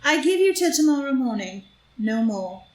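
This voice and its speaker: A woman's voice: high-pitched, big pitch swings, normal speed. A woman playing a scheming, amused society blackmailer with arch, taunting theatrical delivery in a stage play reading. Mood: cool, menacing. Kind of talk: stage play read as audio drama. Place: indoor room, live group reading. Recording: roomy live group reading, faint noise.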